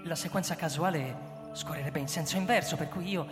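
A man's voice: a little high, brisk, lightly acted. An actor playing an anxious, obsessive man in a radio drama, hesitant and stammering, repeating his words. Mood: musing, determined. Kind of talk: theatrical radio drama acting. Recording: echoey hall with loud music behind. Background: music.

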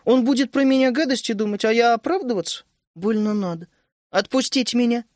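Мужчина-чтец озвучивает обидчивую вспыльчивую девочку, говоря с ней резко и с негодованием. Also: male voice, very high voice, expressive, moderate pace, no room sound, clean studio sound, voice pushed up. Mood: offended. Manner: indignant, snappy. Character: touchy quick-tempered young girl.

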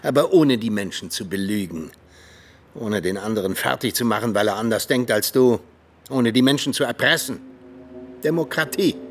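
An actor playing a disillusioned, hot-headed ageing former Soviet agent in a radio drama, with a sardonic manner. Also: male voice, medium pitch, lively intonation, normal speed, a little hiss or hum, close to an everyday voice. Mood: bitter, heated. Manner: heated, sardonic speechifying.

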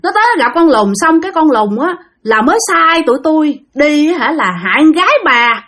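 Woman doing a spirit voice, shrill, strained, lively swoops, taunting. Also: brisk. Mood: complaining. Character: possessing spirit, speaks through a male medium, menacing then pleading.

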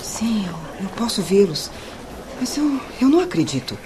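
A woman's voice: mid-range voice, sing-song, unhurried. An actress with a Brazilian accent playing an uneasy, doubting woman spirit in a radio drama. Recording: clear background noise.

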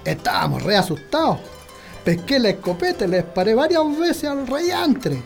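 A man's voice: sits high, big pitch swings, normal speed, vocal fry, soft background music, heavily acted. An actor playing a stubborn, boastful countryman in a radio drama, with a rustic, dismissive manner and a rural Chilean accent. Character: stubborn, boastful countryman, a carousing husband and father.

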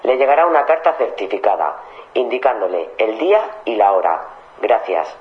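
A man's voice: fairly low pitch, big pitch swings, normal speed, noisy background. A man playing an impersonal court official, speaking formally through a telephone filter. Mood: officious. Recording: dull telephone line.

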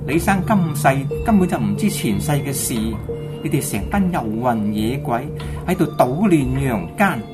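A woman's voice: rumbling low, big pitch swings, normal speed, over music. A woman playing a resolute, compassionate Taoist priest in a radio drama, firm and assured. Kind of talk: Cantonese radio drama acting.

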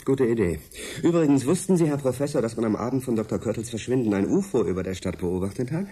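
A man's voice: medium pitch, lively intonation, quick pace, dark tone, breathy edge. A man acting in a radio drama as a persistent, dryly sceptical freelance science journalist with an articulate, probing way of asking. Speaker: actor.

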